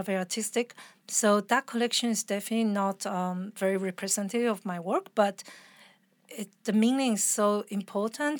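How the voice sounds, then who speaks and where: A woman's voice: mid-range voice, no room sound. Chatty woman, dry sound. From Taiwan. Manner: chatty, laughs often, restarts sentences. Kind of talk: casual interview conversation.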